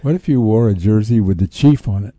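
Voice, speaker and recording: An older man co-hosting a sports talk radio show, asking questions haltingly and repeating words as he forms them. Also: lowish voice, mellow, no echo. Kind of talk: conversational radio interview questioning.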